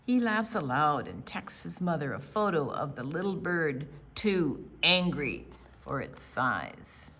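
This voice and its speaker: An older woman reading a picture book to children as a storytime presenter, with deliberate storyteller phrasing and dramatic pauses. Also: low-pitched, big pitch swings, fairly bright tone.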